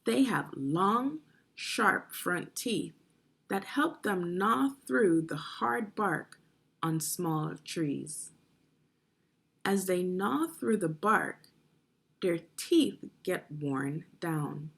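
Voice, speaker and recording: A woman's voice: on the low side, lively intonation, drawn-out words, vocal fry, a bit bright, slightly rough. A woman reading children's nonfiction aloud as a teacher, instructive and putting guiding questions to young listeners. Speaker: read-along narrator and reading teacher.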